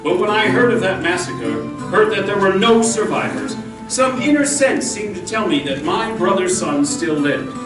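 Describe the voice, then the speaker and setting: A man plays a steadfast masked lawman of a radio western. He tells his story earnestly in a fairly high voice. He is distant in an echoey room, with music behind. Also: big pitch swings, moderate pace, few pauses. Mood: earnest, reflective. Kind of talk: old-time radio western acting.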